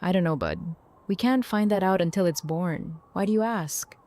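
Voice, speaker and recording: A woman's voice: on the low side, moderate pace, a little mellow, lightly acted. A woman playing a casual, friendly family friend who talks playfully with children in an audio drama. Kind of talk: fan audio drama acting.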